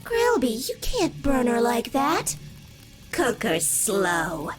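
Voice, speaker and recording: A woman's voice: fairly high pitch, wildly swooping pitch, slow, heavily acted. A woman voicing a gleefully hungry, sadistic monster, theatrical and relishing each word in a comic dub. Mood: hungry glee. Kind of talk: dramatic scripted acting. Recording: background music under the voice.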